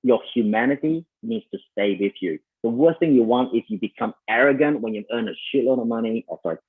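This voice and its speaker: Malaysian-accented man, sales coach, chatty summit talk, husky voice, phone-thin audio. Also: mid-range voice, animated, fast talker, phone-quality sound. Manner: conversational, many fillers, addresses listeners as "you guys".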